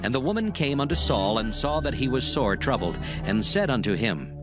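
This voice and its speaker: A man's voice: medium pitch, very animated, moderate pace. A man narrating scripture dramatically from a script in archaic English. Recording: music under the voice.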